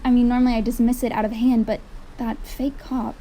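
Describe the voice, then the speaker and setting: A woman's voice: fairly high pitch, little pitch movement, brisk, noisy background, natural voice. A woman playing a sceptical, troubled character in a radio drama. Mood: incredulous. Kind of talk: scripted radio drama acting.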